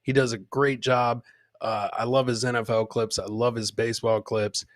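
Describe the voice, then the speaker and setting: A man's voice: mid-range voice, level tone, moderate pace, no room sound. Casual comedy podcast host, man, close dry sound. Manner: informal, profane banter with viewers. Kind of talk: casual comedic livestream chat.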